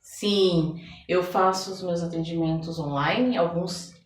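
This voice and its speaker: Woman, Brazilian accent, lowish voice, explanatory card-reader delivery, emphatic repetition, echoey room, music behind. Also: fairly even intonation, takes it slowly, slight background noise. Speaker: podcast guest, oracle card reader and holistic therapist. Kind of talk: card reading and interview answers.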